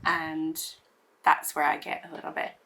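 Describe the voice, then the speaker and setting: A woman with a hoarse voice still recovering from losing it, chatting casually about makeup while doing her look, rambling with many fillers and restarts. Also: low-pitched, flat delivery, normal speed, sharp tone. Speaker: beauty vlogger. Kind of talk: casual get-ready-with-me chat.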